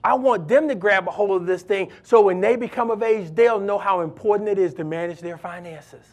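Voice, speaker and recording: A pastor with a strikingly high voice for a man preaches to his congregation. He drives points home by repeating phrases and urging listeners directly. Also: brisk. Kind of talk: sermon.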